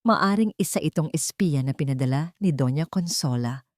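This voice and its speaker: A woman's voice: low voice, lively intonation, moderate pace, dry recording, clean studio sound. A woman voicing a wary, guarded heroine of a family drama in an audio storybook, cautious and questioning. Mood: suspicious. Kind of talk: audio storybook character dialogue.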